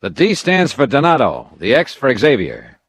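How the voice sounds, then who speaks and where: An actor playing a hard-boiled, dogged American insurance investigator in a 1950s radio detective drama. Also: male voice, medium pitch, huge pitch swings, normal speed, husky, no room sound, exaggerated voice. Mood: businesslike. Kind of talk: 1950s radio detective drama, first-person narration and dialogue.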